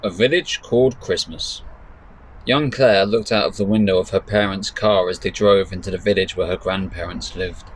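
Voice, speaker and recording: A man reading his own story as dramatic narration, with measured, deliberate pauses. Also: deep voice, rough recording. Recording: faint background noise.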